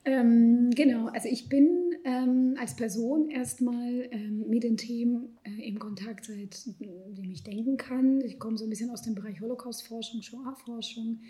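A middle-aged woman with a rather high but mellow, warm voice speaks on a discussion panel. She is thoughtful and pauses often on fillers, letting phrases trail off softly. She was born in Ukraine and grew up in Israel. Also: steady tone. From born in Ukraine, raised in Israel. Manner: thoughtful, hesitant with frequent fillers and soft trailing asides. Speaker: psychologist and panel guest.

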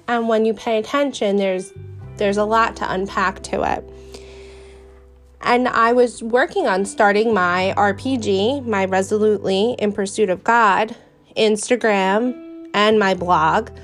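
A young woman with a New York accent talks earnestly and chattily as a podcast host, her voice at a middle pitch. Faint music plays softly under her voice. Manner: earnest and chatty, with fillers and restarts. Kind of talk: casual faith podcast monologue.

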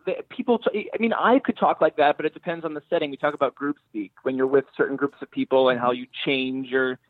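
A man's voice: mid-range voice, steady tone, quick pace, dry recording, phone-quality sound. A man being interviewed as a screenwriter, speaking thoughtfully and often rephrasing as he searches for words. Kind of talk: thoughtful interview talk about own writing.

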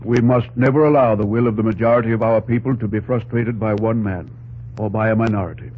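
An actor playing a formal, high-minded statesman in an old radio drama, earnest and oratorical. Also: fairly even intonation, quick pace, close to an everyday voice. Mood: stately. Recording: dull old radio recording, faint hum.

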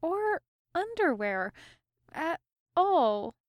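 Woman doing a high, innocent elf girl. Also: big pitch swings, drawn-out words, no echo, heavily acted. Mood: puzzled, worried. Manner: earnest, innocent, exasperated sighing.

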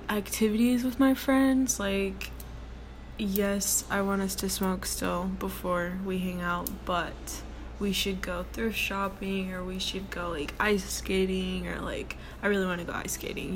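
A young woman with a deep voice hosting her own casual solo podcast from her workplace, rambling with many ums and likes in a relaxed way. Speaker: podcast host. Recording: noticeable background noise.

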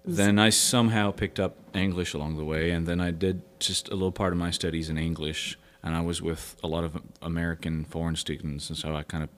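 A man whose first language is French, a musician guest speaking English in a relaxed, modest way with some hesitant fillers. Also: deep voice, brisk, hardly any pauses. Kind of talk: casual interview chat. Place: recording studio.

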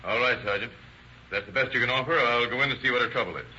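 An actor playing a dry, courteous police detective lieutenant, polite and unruffled, in an old-time radio drama. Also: male voice, mid-range voice, quick pace, sharp tone. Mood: dryly amused. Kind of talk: 1930s-40s radio drama acting. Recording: muffled old radio recording, faint noise.

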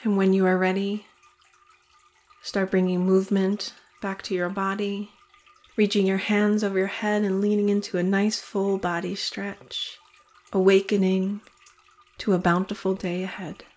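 A woman guides a short meditation in a calm, soothing voice. She speaks very slowly, leaving long pauses between phrases. Her pitch stays level and even throughout, never rising or falling much. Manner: slow, soothing, evenly paced with long pauses.

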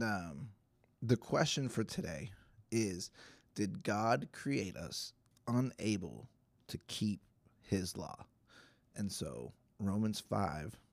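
A man with a hoarse, stuffy voice, giving a casual livestreamed Bible teaching in a chatty, self-deprecating way. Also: fairly low pitch, a little mellow, dry recording. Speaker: pastor.

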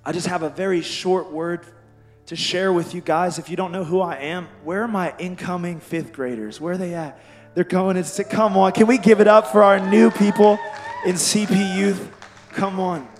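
A young male youth pastor preaching with a hoarse, worn-out voice that still sits fairly high. He talks energetically and keeps calling out to the crowd, with faint music underneath. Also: steady tone, normal speed. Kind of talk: youth sermon.

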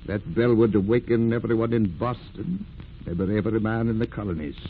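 A man acting a commanding, deliberate ship's skipper with authoritative, dramatic delivery in a 1940s radio drama. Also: on the low side, close to an everyday voice. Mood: grave, rousing. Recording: muffled, noisy vintage radio drama recording.

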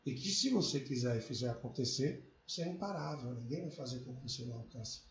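A man's voice: medium pitch, expressive, quick pace, a little hiss or hum. A man in casual conversation, talking reflectively and with animation about family, hobbies and life. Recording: muffled echoey room, faint ambience.

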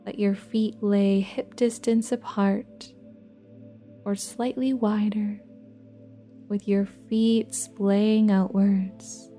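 A soothing female meditation guide speaks in a soft, breathy, mellow voice. Her delivery is very slow and level, with long restful pauses. Soft new-age music with singing bowls plays quietly behind her. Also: mid-range voice, little pitch movement.

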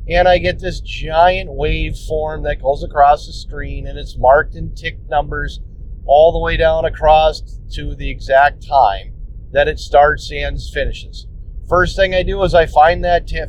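Rambling man recording in a car. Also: a little mellow. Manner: rambling, restarts, plainspoken with mild swearing. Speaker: podcast host. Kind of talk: casual instructional talk. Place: inside a car on the road. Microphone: phone recorder. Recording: muffled phone recording with road noise. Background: road rumble.

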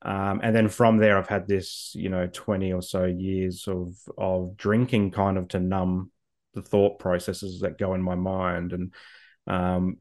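A man's voice: low voice, level tone, a little slow, darkish tone. A man in his forties, speaking reflectively as an interview guest, with frequent ums and repeated words. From Australia. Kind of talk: personal story in an interview.

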